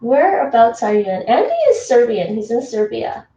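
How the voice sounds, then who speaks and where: A woman's voice: fairly high pitch, very animated, moderate pace, warm tone, far from the mic, lo-fi sound, slight background noise. Chatty woman streaming from an echoey kitchen. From Toronto, Canada. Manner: chatty, answers chat, laughs often. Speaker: livestreamer. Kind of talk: casual livestream chat while cooking. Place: home kitchen. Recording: echoey room, voice off-mic.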